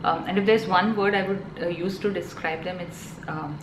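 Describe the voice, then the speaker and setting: A woman's voice: fairly low pitch, fairly even intonation, moderate pace, background noise. A woman in her thirties with an Indian accent, a Telugu native speaker, chatting casually to camera as a parenting vlogger, full of ums and you-knows. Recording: echoey room, faint ambience.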